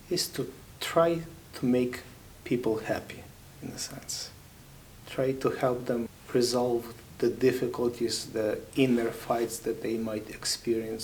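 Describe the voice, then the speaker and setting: Army chaplain, Ukrainian accent, 30s, mid-pitched, halting reflective delivery, faint background rumble. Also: male voice, a little mellow, poor audio. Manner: halting, thoughtful, with many pauses and fillers. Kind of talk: interview answers.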